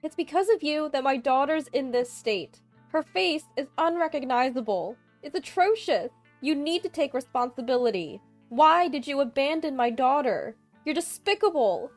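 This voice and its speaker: A woman's voice: high-pitched, animated, moderate pace, few pauses, soft background music, voice pushed up. A woman voicing a furious, sobbing older mother-in-law who shrieks accusations down the phone. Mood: enraged.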